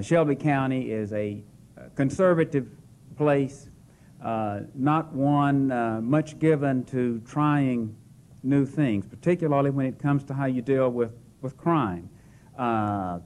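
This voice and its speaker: Relaxed, hesitant male public defender. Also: medium pitch. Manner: relaxed and jokey, frequent "uh" hesitations. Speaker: chief public defender presenting to a panel. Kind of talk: semi-formal presentation with asides. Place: hall at a press club.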